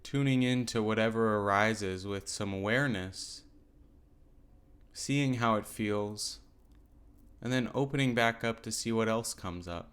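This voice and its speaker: A man with a fairly low, smooth and clear voice teaches a guided meditation. He gives gentle instructions without hurry, leaving pauses between his phrases. The delivery suits a mindfulness practice.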